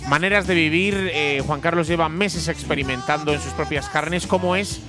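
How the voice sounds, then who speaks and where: A man's voice: a little high, moderate pace. A man hosting a comedy radio show in a studio, teasing his guests. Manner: playful, teasing. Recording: radio studio with music bed.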